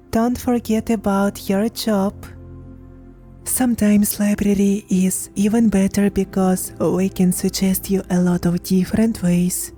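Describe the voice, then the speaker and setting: A young woman with a Ukrainian accent, speaking careful non-native English with hesitant pauses, telling her own story in a personal vlog. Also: mid-range voice, warm tone.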